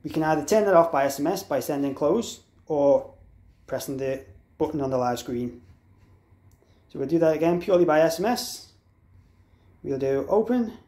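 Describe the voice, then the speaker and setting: A man with a slightly high voice talks through a product tutorial in an echoey room. He explains things step by step in an informal, unscripted way, carefully spelling out letters and numbers. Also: animated, normal speed, long pauses, a little mellow. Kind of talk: instructional walkthrough, informal and unscripted. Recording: echoey, roomy sound.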